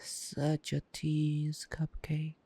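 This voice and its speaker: A taunting male vampire, acted by a man, speaks in a slow, gravelly drawl with little rise or fall. He is playful and predatory, teasing the listener with soft menace in a close, dry ASMR roleplay. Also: on the high side, monotone, no echo, exaggerated voice. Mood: soft, wistful teasing. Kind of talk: intimate ASMR roleplay monologue.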